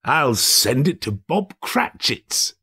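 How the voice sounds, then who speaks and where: A man's voice: medium pitch, big pitch swings, bright, forceful tone, slightly rough, pitched above the performer's own voice, exaggerated voice. A man voicing an elderly reformed miser for an audiobook, giddy and gushing with delight, drawing words out in exclamations. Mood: mischievous glee. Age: older. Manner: exclamatory, drawn-out, gushing delivery. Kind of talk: dramatised audiobook character voice.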